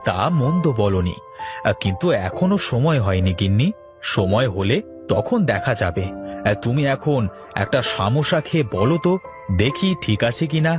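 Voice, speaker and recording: A man playing a humble, kind samosa-selling husband in a cartoon, homely and gently preachy. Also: mid-range voice, lively intonation, normal speed, music in the background, natural voice. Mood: gentle, practical. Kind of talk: cartoon story dialogue.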